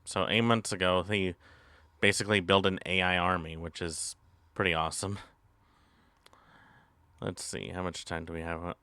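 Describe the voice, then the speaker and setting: A man streaming and chatting casually as he reacts to videos, with halting, drawn-out phrasing. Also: sits low, flat delivery, pushed and bright, clear, resonant tone, dry recording, clean studio sound.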